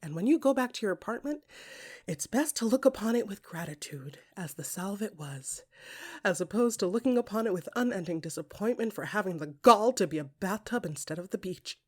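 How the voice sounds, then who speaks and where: A woman performing intimate second-person audio fiction, expressive and often hushed, with deliberate pauses between short phrases. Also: medium pitch. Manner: expressive, swinging from soft musing to emphatic bursts.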